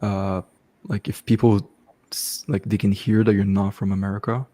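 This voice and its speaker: Give me a man with a deep, warm voice hosting a casual live Q&A. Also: flat delivery, moderate pace. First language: French. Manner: casual, repeats "okay" and "bro", frequent restarts. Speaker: host coaching online business. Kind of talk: live stream Q&A, casual advice.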